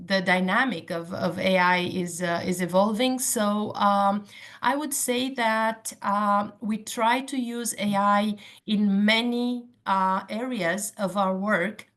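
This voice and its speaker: A woman with a Romanian accent speaks as an online panelist. Her pace is very slow and measured, with hesitant phrasing and a mid-pitched voice. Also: a bit bright. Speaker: panelist, cluster representative.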